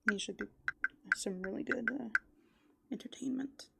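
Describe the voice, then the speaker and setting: A soft-spoken woman doing rambling, playful ASMR as a raccoon, musing aloud and often slipping into whispers. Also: a little high, normal speed, vocal fry, heavy background noise. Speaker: ASMR host. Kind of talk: furry roleplay ASMR, improvised rambling.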